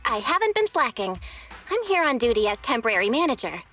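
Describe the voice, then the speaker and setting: A woman voicing a sharp, dependable young woman working as a temporary shop manager in a video game. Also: fairly high pitch, very animated, brisk, soft background music. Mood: businesslike. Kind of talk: video game character acting.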